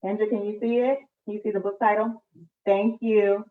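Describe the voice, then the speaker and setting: A woman's voice: medium pitch, little pitch movement, normal speed. A woman hosting a live children's read-aloud, chatting to families at storytime. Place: indoors at home, on a live video call. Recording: echoey room, distant muffled mic.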